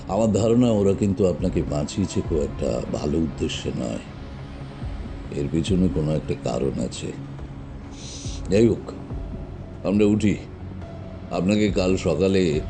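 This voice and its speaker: Bossy gruff detective uncle, low voice, swings up and down a lot, audio drama. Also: male voice. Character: gruff, commanding middle-aged male detective uncle.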